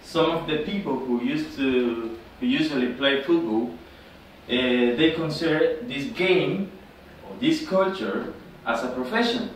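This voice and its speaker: A man from Ecuador with a Spanish accent gives an informal classroom presentation in halting, non-native English, often checking with a short tag question. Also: medium pitch. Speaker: student presenter, football coach. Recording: very echoey room, distant mic, noisy.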